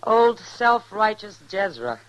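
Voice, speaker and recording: A woman's voice: mid-range voice, very animated, takes it slowly, rough recording. An actress playing a frank, worldly American saloon woman in an old radio western, familiar and sardonic. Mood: scornful. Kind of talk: 1950s radio western acting.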